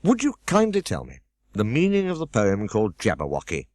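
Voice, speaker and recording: A man voicing a polite, gentle young girl who is a little anxious. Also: on the low side, wildly swooping pitch, brisk, dry recording, clean studio sound.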